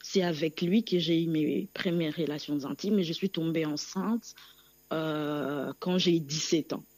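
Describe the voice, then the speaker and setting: A soft-spoken woman in her thirties, originally from Congo, phones in to a radio program to share her story. She speaks haltingly, pausing often and filling the gaps with small hesitations. Her voice comes across dry and close, with no room around it. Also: sits low, no room sound. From Democratic Republic of the Congo. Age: 30s. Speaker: caller. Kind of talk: personal testimony on a radio call-in.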